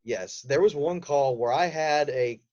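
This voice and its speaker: A man telling stories casually as a podcast guest and voice actor, animated in manner. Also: on the high side, measured pace, lo-fi sound. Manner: animated, acts out quotes. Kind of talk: casual interview storytelling.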